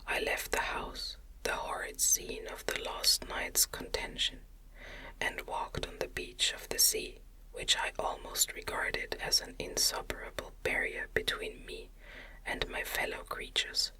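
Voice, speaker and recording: A narrator reading a classic story in a soft, breathy, soothing ASMR whisper with measured, deliberate phrasing. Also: rough recording. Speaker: audiobook reader.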